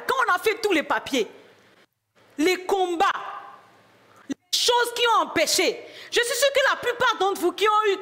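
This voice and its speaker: Brief: high-pitched woman from Benin, middle-aged preacher, testimony-style sermon, swings from quiet to shouting. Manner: swings from hushed to loud exclamations.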